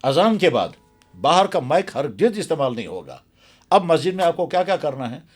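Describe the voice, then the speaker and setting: Earnest male cleric, slightly high voice. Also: big pitch swings, brisk, slightly rough, soft background music. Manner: earnest, emphatic, repeats phrases for stress. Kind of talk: explanatory religious talk in an interview.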